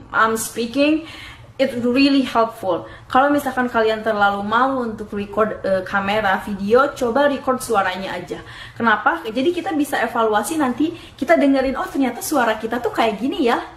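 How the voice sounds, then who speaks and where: A woman's voice: a little high, a bit bright. A young woman from Indonesia giving casual vlog tips, chatty and laughing at herself as she switches between two languages. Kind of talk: casual vlog talk to camera. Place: home room in Dublin. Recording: echoey room, faint background noise.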